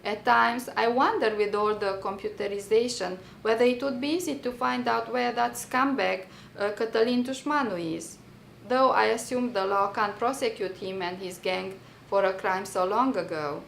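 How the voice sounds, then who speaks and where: Female reader, Romanian accent, gravelly fry, deliberate personal-testimony delivery, echoey room. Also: mid-range voice. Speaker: writer reading own work. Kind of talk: dramatic reading of a personal testimony essay. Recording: roomy echo, faint background noise.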